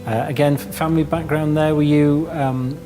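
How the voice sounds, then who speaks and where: A man's voice: on the high side, steady tone, moderate pace, long reverb tail, over music. A man interviewing a guest, asking questions in a hesitant way with frequent restarts. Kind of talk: conversational interview.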